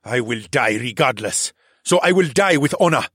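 A man's voice: medium pitch, expressive, normal speed, husky, no room sound, studio quality, pitched above the performer's own voice. A man voicing a proud, defiant male cheetah demi-human captive who snarls and sneers.

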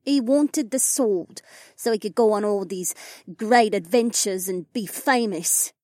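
A woman's voice: medium pitch, unhurried, a little mellow, no echo, clean studio sound, lightly acted. A woman voicing a proud, wounded elf noblewoman and sword warrior in an audiobook. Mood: scornful. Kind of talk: audiobook character dialogue.

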